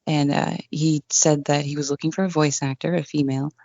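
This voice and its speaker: A woman with a low voice, chatting casually as an interview guest, relaxed and self-deprecating, on a condenser mic at home. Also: a little fast, no room sound. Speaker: guest, online radio DJ. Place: home room without acoustic treatment. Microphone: condenser desk mic with pop screen. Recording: dull, dry home recording.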